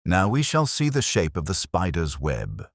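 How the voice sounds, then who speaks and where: A man voicing a shrewd, controlled Victorian detective in a storybook reading, speaking in a dry, measured way. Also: sits low, huge pitch swings, dry recording, full character voice. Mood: confident, wary.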